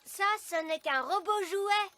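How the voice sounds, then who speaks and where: A woman plays an earnest little cartoon elf boy who likes giving orders. The voice is very high and bright, with measured, deliberate pacing. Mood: friendly, confident. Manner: earnest, commanding, exclaiming. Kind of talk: children's cartoon dialogue.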